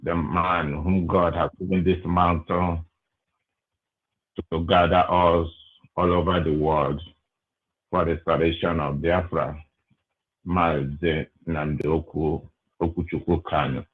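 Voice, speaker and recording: A man's voice: sits low, flat delivery, slow, long pauses. A male caller from Nigeria with a Nigerian accent, speaking hesitantly with many fillers as he sends greetings and makes a comment. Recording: tinny phone line.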